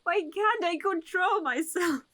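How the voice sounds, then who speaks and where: A woman's voice: extremely high pitch, a little slow, bright, forceful tone, full character voice. A woman playing a distraught, obsessive young woman grieving her lover in a horror radio drama, anguished and pleading. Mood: despairing.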